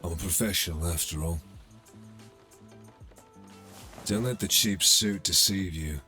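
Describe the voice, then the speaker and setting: A man's voice: low voice, fairly quick, sharp tone, faint music behind. A man playing a cocky, sardonic criminal middleman in a cyberpunk ASMR roleplay, casual and streetwise, teasing as he talks.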